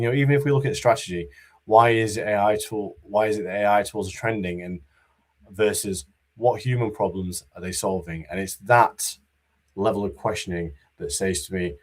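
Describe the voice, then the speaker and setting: A man giving a conference talk about business readiness, in a monotone voice with many fillers and restarts. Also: low voice. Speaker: conference speaker.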